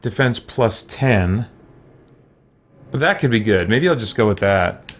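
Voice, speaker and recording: A man's voice: fairly low pitch, animated, slow. A man hosting a roleplay gaming video, casually thinking aloud with theatrical exclamations. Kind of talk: casual roleplay game commentary. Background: faint game music.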